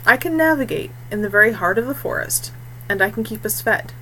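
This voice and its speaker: A woman's voice: medium pitch, expressive, a little fast, a little hiss or hum, low-quality recording. Woman reading as patient young forest guide woman. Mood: quiet assurance. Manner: quiet, patient, assured.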